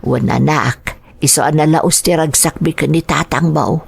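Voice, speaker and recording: A woman plays a loving older mother in a family radio drama. Her voice sits fairly low, with an Ilocano accent and a gentle, grateful, unhurried manner. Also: gravelly, breathy edge, heavily acted. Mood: tender.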